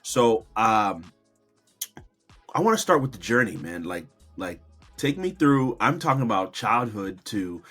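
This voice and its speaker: A man's voice: fairly low pitch, sing-song, measured pace, a bit bright, slightly rough, faint music behind. A man hosting an interview show, introducing and thanking his guest in an effusive, admiring way. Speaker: podcast host.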